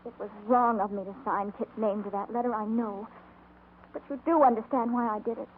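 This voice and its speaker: A woman's voice: a little high, fairly even intonation, brisk, natural voice. A woman playing a worried, earnest American frontier wife in a 1950s radio western, pleading as she speaks. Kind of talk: 1950s radio drama acting. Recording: muffled, noisy old radio recording.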